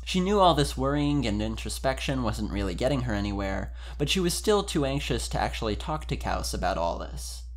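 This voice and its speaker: A man's voice: medium pitch, very animated, fairly quick, hardly any pauses. A man speaking informally and expressively, as a podcast member giving an amused dramatic reading of fan fiction.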